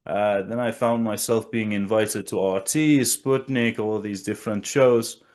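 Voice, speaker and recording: A man from Iran giving geopolitical analysis as an interview guest, speaking deliberately with halting pauses. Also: on the low side, steady tone, darkish tone.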